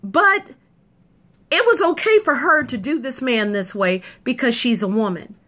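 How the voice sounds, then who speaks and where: A woman's voice: sits high, lively intonation, measured pace, bright, forceful tone. A woman delivering an emphatic, punchy opinion monologue to camera, repeating points for effect. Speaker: video commentator.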